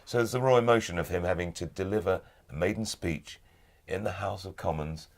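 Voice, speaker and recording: A middle-aged man with a very low voice talks in a studio chat. He is thoughtful and halting, and he often repeats a word as he finds his way. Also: vocal fry. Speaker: audiobook narrator and guest. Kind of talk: casual interview chat. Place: recording studio.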